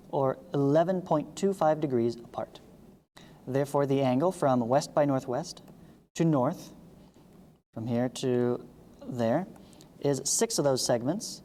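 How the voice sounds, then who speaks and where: Woman teacher w a low mellow voice reading thru a lesson step by step, kinda echoey. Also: slight background noise. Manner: instructional, step-by-step explaining. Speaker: math teacher, video host. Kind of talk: read-along lesson, reading textbook aloud with asides.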